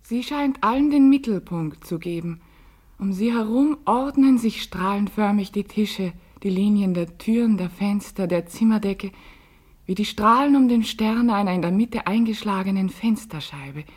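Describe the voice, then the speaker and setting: An actress playing a fragile, alienated woman in a literary radio drama, speaking in breathy, poetic monologue. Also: medium pitch, moderate pace, a little mellow, close to an everyday voice. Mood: awed. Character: fragile, alienated woman who feels faceless.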